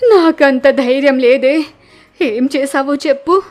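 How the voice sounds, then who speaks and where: A woman voicing a bossy, exasperated older mother-in-law in a village moral story, loud and quick to scold. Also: sits high, faint music behind. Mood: wary, outraged.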